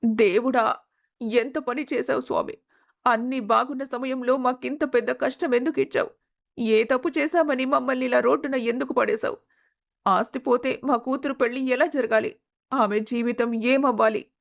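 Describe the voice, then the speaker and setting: A woman's voice: a little high, fairly even intonation, normal speed, creaky. A woman playing an anxious, tearful middle-aged wife and mother in a melodramatic family story. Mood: anguished lament.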